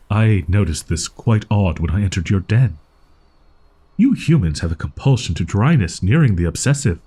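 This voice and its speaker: A man's voice: on the low side, mellow, husky, full character voice. A man voicing a proud, easily flustered young wolf-boy in an audio roleplay, speaking in haughty, archaic, formal phrasing. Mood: puzzled curiosity.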